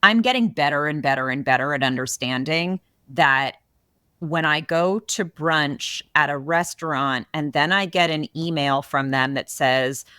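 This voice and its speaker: A woman with a big, low voice hosts a casual podcast and talks with bright, forceful energy. She tells her stories expressively, and the sound is dry and close. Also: a little slow, clear, resonant tone, no echo. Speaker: podcast host.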